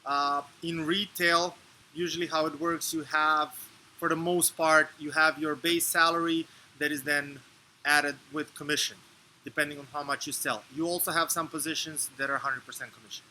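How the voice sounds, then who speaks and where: A man from Serbia in his thirties, answering interview questions in a measured, explanatory way with fillers and restarts. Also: a little high, level tone, pushed and bright, a little hiss or hum, rough recording. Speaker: interview guest.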